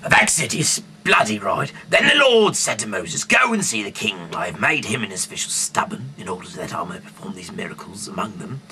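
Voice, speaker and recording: A man with a gravelly voice that fades into a murmur reads scripture aloud haltingly, stumbling over words, with sudden emphasis and off-the-cuff asides. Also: deep voice, rough recording. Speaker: amateur Bible reader.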